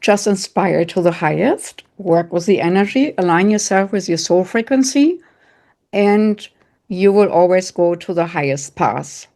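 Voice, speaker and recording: A middle-aged woman speaks with a strong German accent in a somewhat low voice. Her delivery is calm and gently instructive, built from short, repeated phrases as she leads an online workshop. She pauses now and then to take audience questions. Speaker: psychic medium and coach, guest speaker.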